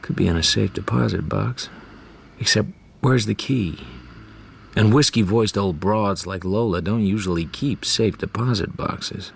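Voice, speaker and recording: A hardboiled private eye in a radio detective drama. His voice is on the low side, dry and sardonic, with clipped world-weary wit. A little noise sits behind him. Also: measured pace, vocal fry. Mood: brooding. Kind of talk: hardboiled first-person radio drama narration and dialogue.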